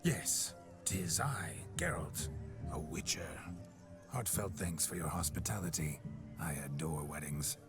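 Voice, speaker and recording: A rakish, boisterous nobleman's spirit in a game cutscene, talking with cocky, old-fashioned swagger. The voice sits low and deep, with music playing underneath. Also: a little slow. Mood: jovial. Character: brash, rakish nobleman's spirit possessing a man. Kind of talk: video game cutscene dialogue.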